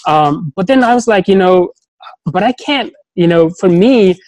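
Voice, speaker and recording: A man's voice: high-pitched, lively intonation, unhurried, darkish tone, dry recording. A man as a podcast guest, talking casually and thoughtfully, often stopping to restart his sentences. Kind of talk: casual conversation.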